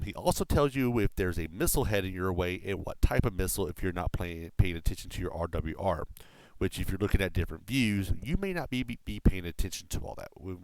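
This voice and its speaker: A man talks through a flight combat game for his stream, speaking from a home desk setup. His voice sits low and comes across smooth and resonant, with a dry, close sound. He rambles loosely, filling gaps with "uh" and "you know" and letting a swear slip out. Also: dry recording, rough recording. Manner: rambling, with frequent fillers and casual swearing. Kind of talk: casual gameplay explanation on a live stream. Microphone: desk microphone through a mixer.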